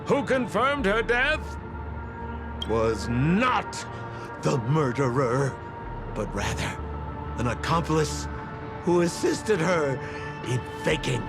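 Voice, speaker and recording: A man playing a suave, flattering rogue in a video game dub, smooth-talking, theatrical and deliberate. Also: fairly high pitch, exaggerated voice. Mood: dramatic reveal. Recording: music behind. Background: music.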